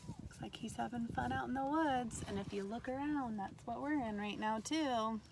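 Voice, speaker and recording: A woman teacher reading a storybook aloud to kindergartners in an animated way, pausing to put questions to her listeners. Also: fairly high pitch, vocal fry. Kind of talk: read-aloud lesson for young children. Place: outdoors in a backyard tree fort among trees. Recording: faint outdoor ambient noise.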